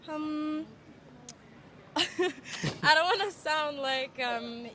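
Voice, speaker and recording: A young woman from Serbia answers a street interview outdoors, her slightly high voice set against clear street noise. She keeps her replies short and relaxed. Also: drawn-out words.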